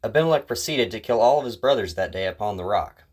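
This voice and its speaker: A man's voice: on the low side, lively intonation, quick pace, a little hiss or hum. A man hosting and narrating a story in a dramatic, scripted style. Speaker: host and narrator.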